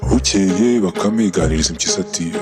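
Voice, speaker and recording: A man with a Rwandan accent voicing a hyena and telling the story in an animated folktale. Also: low voice, quick pace, close to an everyday voice. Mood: storytelling. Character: gruff hyena creditor in a cartoon folktale, also narrated. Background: music.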